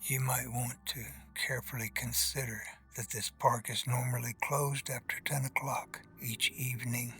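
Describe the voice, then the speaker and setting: A man voicing a weary old ghostly cemetery caretaker who talks in a hushed, slow, ominous way. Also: medium pitch, level tone, faint music behind. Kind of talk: audio drama acting.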